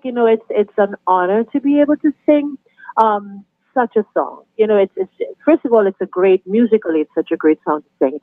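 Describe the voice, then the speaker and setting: A middle-aged woman with a Trinidadian accent, chatting warmly and laughing easily as a guest on a podcast interview. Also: lowish voice, no room sound. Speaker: guest, anthem singer. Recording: tinny phone line, dry.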